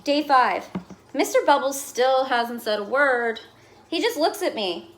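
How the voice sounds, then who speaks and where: A woman reading a children's picture book aloud as a fussy, put-upon goldfish telling its diary, with dramatic, expressive delivery. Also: high voice, unhurried, a little hiss or hum. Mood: dry, bemused. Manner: dramatic, exasperated, expressive storytelling.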